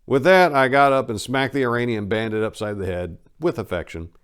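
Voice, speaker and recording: A man's voice: mid-range voice, a little fast, fairly bright tone, clean tone. A man hosting and narrating a story in an informal, conversational, dramatic reading style. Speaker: storyteller and channel host.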